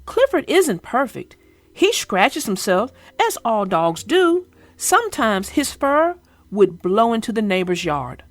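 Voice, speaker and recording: Storybook young girl played by a woman, earnest and expressive, high voice, dry sound, telling a children's tale. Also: slow, no echo, faint music behind, heavily acted. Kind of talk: children's storybook read-aloud.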